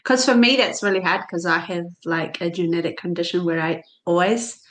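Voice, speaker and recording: A woman's voice: on the low side, fairly even intonation, normal speed, fairly bright tone. A woman talks casually on a podcast as a guest. She often stops and restarts mid-sentence and asks questions hesitantly. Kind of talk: casual chat.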